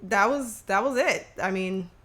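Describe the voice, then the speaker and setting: A young woman co-hosting a casual podcast, her voice a little nasal from allergies, lively and laughing a lot. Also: medium pitch, measured pace. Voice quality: slightly nasal. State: recovering from allergies. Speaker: podcast co-host. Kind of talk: casual chat.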